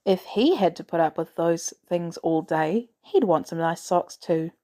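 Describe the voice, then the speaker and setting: A woman's voice: lowish voice, measured pace, mellow. A woman reading a scripted story aloud as a narrator. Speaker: podfic reader. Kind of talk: scripted narration of fan fiction.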